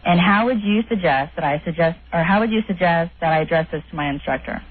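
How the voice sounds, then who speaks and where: A woman's voice: on the low side, fast talker, husky. A young adult woman, a student calling in, talking casually in an earnest, persistent way over a phone. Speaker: phone-in caller, college student. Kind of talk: casual, unscripted call. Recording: dull, faintly noisy phone call.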